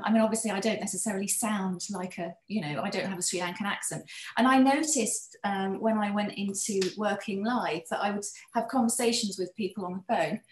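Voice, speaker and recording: Reflective woman in an echoey room. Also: medium pitch. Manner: reflective, measured, personal. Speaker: designer and hospitality business owner. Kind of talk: panel discussion. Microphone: video call.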